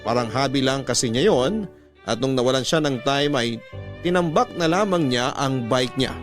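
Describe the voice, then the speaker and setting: A man with a mid-pitched voice narrates a scripted first-person story in the manner of a radio storyteller. Violin music plays clearly behind him. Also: moderate pace.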